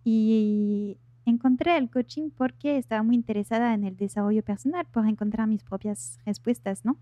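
A woman's voice: on the high side, normal speed, dark tone, no echo. A woman from France with a French accent, a native French speaker chatting as an interview guest and searching for words in her second language. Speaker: podcast guest, life coach. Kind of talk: casual interview chat.

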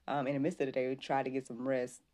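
A woman's voice: rumbling low, flat delivery, fast talker, darkish tone. A woman hosting a casual faith-based livestream, chatting informally and devoutly with frequent praise and interjections. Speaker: podcast host.

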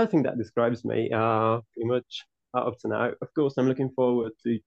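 Gravelly man, dull sound. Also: medium pitch, darkish tone, no echo. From Hungary. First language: Hungarian. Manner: earnest, long winding answers with many restarts. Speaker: podcast guest, football writer. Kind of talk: casual interview answers.

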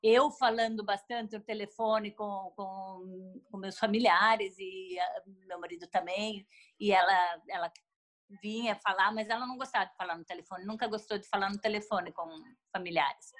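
A woman's voice: medium pitch, steady tone, moderate pace. A middle-aged woman from Brazil, a mother talking casually about her family's life abroad. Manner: warm retelling with quoted voices, laughing. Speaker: mother, interview guest. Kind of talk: casual storytelling.

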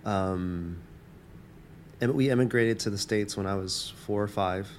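A man's voice: fairly low pitch, a little slow, slight background noise. A man born in Taiwan, a campus minister, talking reflectively in an interview with many fillers and restarts.

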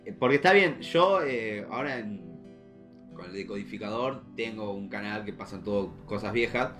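A young man with a Rioplatense Argentine accent co-hosting a casual podcast, jokey and slangy, telling stories in an animated way. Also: on the low side, normal speed, faint music behind. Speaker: podcast co-host.

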